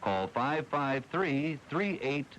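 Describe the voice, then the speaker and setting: A man's voice: a little high, expressive, slow, a bit bright, poor audio. An American man reading a scripted local radio commercial as an announcer, on a hissy 1980s AM recording.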